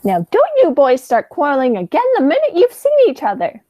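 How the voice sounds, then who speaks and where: Teenage girl as high, swooping, motherly old aunt. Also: huge pitch swings, a little fast, dry recording, clean studio sound. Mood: scolding. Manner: fussing, gently scolding. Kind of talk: amateur radio play acting.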